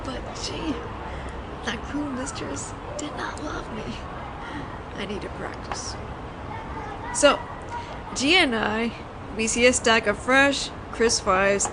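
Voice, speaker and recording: A woman playing a flirtatious, teasing mafia boss in an audio roleplay, talking in a playful, conversational storytelling way. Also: high-pitched. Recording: noisy outdoor city ambience, traffic.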